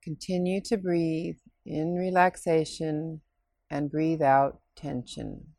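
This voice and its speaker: A woman leading a scripted guided relaxation meditation in a soothing, unhurried, instructing way with pauses. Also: deep voice, steady tone, gravelly, dark tone, no room sound, clean studio sound.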